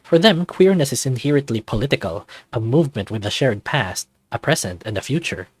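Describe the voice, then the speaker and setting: A man's voice: medium pitch, expressive, a little fast, slightly rough, no room sound. A man voicing an anxious, self-doubting man in his thirties, narrating a visual novel introspectively in the first person. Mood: anxious, wistful. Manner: introspective, confessional, dramatic reading.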